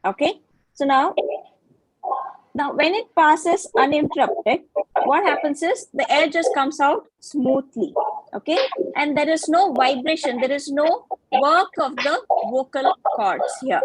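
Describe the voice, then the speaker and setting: A woman with a high, bright-pitched voice gives a lecture over a video call. She explains step by step, often pausing to ask whether the class follows. The sound is dry and close, with no room echo. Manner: patient, checks understanding with frequent "okay" and questions. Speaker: guest lecturer in phonetics.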